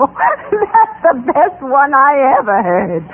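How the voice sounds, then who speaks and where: An actress playing a cheerful, trusting housewife in an old-time radio melodrama, exclaiming in amused, gossipy dismissal. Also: female voice, sits high, big pitch swings, takes it slowly, full character voice. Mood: amused disbelief. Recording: noisy, narrow-band old radio recording.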